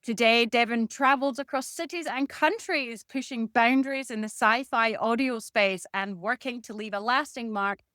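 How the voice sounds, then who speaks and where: Woman podcast host, higher-pitched voice. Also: normal speed, few pauses, bright, forceful tone, no room sound, studio quality. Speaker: podcast host interviewing a guest. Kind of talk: scripted introduction and interview questions.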